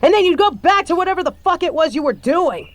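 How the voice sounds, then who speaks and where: A woman performs a bitter adult daughter in a scripted audio drama. Her voice is high for a woman. She speaks in a scathing, confrontational way and swears freely as she takes her neglectful stepfather to task. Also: expressive, fast, no echo. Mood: accusing, angry. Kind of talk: scripted audio roleplay monologue.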